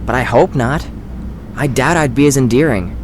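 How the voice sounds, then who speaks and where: A man's voice: medium pitch, moderate pace, natural voice. A man playing a teasing, easygoing young man in an audio drama. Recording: faint background noise.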